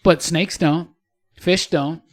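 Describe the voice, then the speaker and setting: High-pitched man hosting a casual panel. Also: fairly even intonation, slow pace. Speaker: podcast host. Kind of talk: casual panel discussion, posing questions. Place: studio.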